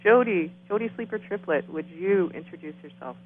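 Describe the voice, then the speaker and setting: A woman's voice: fairly low pitch, normal speed, husky, dry recording. Woman in her forties on a phone line. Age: 40s. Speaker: panel moderator. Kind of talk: panel introductions. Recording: tinny phone-line audio.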